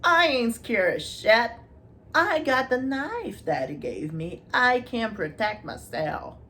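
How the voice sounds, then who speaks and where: A sassy, defiant young storybook girl, acted out by a woman speaks up boldly and slangily. The pitch jumps up with attitude, over a slightly noisy recording. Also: very animated, takes it slowly, pushed and bright, heavily acted.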